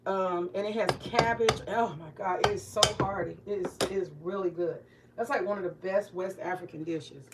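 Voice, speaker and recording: A woman's voice: mid-range voice, normal speed. Forties woman, retired teacher type, loose swearing cooking-vlog chatter, light hiss behind. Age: 40s. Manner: candid, joking, swears freely, talks to viewers. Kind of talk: casual cooking vlog chat. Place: home kitchen.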